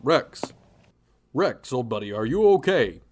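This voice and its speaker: A man's voice: mid-range voice, sing-song, measured pace, a little mellow, slight background noise. A man playing a confident, righteous superhero leader in a radio drama, with a grand declamatory delivery. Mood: concerned, reassuring.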